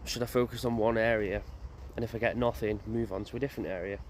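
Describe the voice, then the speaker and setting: A man's voice: fairly low pitch, steady tone, quick pace. A man vlogging in a graveyard, musing aloud and asking himself questions as he walks. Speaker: paranormal investigator vlogger. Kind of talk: casual walking commentary. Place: outdoors in a graveyard. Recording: loud outdoor noise, passing motorbike.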